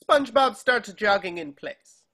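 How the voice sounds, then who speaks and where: A narrator with a bright, crisp-edged tone and mid pitch reads scripted stage directions. The delivery is that of a table reading, describing the action. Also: lively intonation, measured pace.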